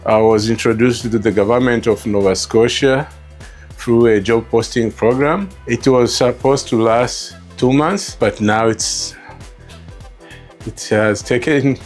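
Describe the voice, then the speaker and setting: A middle-aged man from Rwanda with a Rwandan accent tells his personal story as an interview guest, halting and repeating words as he reflects. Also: mid-range voice. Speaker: interview guest, store co-founder.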